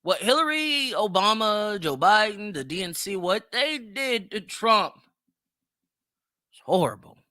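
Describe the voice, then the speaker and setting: Male podcast commentator, emphatic and opinionated, sharp bright tone, close dry sound. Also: sits high, big pitch swings, measured pace, no room sound. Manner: emphatic, repeats phrases for stress. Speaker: podcast host.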